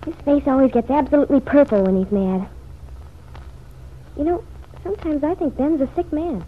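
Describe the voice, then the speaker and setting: An actress playing a playful, eager young American wife in an old-time radio serial. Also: female voice, high voice, animated, normal speed. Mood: gleeful. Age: young adult. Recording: muffled, noisy vintage radio recording.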